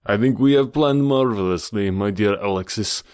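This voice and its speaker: A man voicing a suave, scheming villain who gloats in a deliberate, theatrical way in an audiobook. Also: fairly low pitch, a little mellow, no room sound, clean studio sound, natural voice. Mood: smug, gloating. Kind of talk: audiobook character dialogue.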